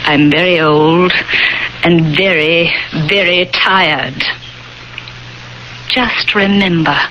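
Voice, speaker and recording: An actress playing a weary, troubled old woman in a radio drama, speaking with deliberate, dramatic delivery. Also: medium pitch, fairly bright tone. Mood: weary, pleading. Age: older. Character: weary old woman in two quarrelling selves, scolding and pleading. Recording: dull, lightly noisy old radio recording.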